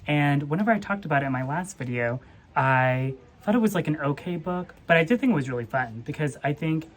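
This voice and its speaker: A young woman hosting a book vlog, talking in an informal, conversational way with plenty of fillers. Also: a bass voice. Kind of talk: casual book chat. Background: ambient noise, people in the distance.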